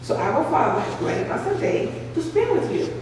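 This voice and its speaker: A woman's voice: a little high, very animated, moderate pace, a little mellow, distant mic, a little hiss or hum. A woman author reading aloud from her book with earnest, heartfelt storytelling at a cafe. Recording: echoey room, voice from afar.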